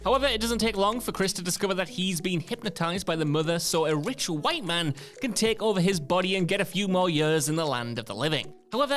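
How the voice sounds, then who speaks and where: A male video presenter with a high-set voice reads a scripted countdown. His manner is conversational and lightly wry. Soft music plays behind him. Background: background music.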